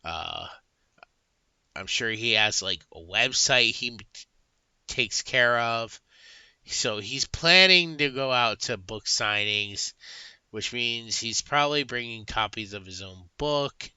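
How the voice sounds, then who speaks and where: Bright, rambling man reviewing books. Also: mid-range voice, expressive, slow pace, smooth, dry recording, muffled recording. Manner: rambling, with frequent fillers and restarts. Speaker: book reviewer and YouTuber. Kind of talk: casual spoken review.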